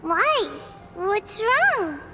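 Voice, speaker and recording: A childlike goddess of nature from a fantasy game, with a high, gentle voice. She sounds wise, polite and composed, over faint music. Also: sing-song, drawn-out words, exaggerated voice. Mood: curious, concerned. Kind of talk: scripted fantasy game dialogue.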